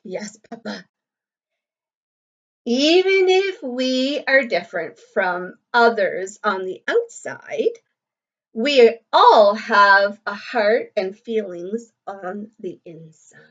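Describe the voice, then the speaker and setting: A woman's voice: medium pitch, big pitch swings, slow pace, a bit bright, exaggerated voice. A woman reading the part of an earnest little storybook girl in a simple manner, on slightly dull audio. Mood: earnest, obedient.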